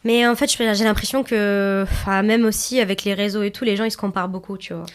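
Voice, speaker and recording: A woman's voice: medium pitch, steady tone, fast talker. A young woman from Italy, a native Arabic and Italian speaker, chatting in casual, slangy French on a podcast. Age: young adult. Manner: informal, slangy, conversational. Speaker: video creator on a podcast. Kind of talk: casual chat.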